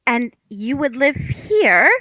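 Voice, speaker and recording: A woman's voice: high-pitched, very animated, slow, sharp tone, no room sound, exaggerated voice. A woman playing a flustered, wry young housewife in a table read of a stage comedy. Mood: flustered, wary. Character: flustered young housewife, wary yet tempted, dryly teasing.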